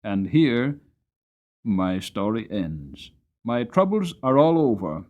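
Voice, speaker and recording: A man giving a dramatic storybook narration as an audiobook reader, expressive and deliberate. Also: fairly low pitch, highs cut off.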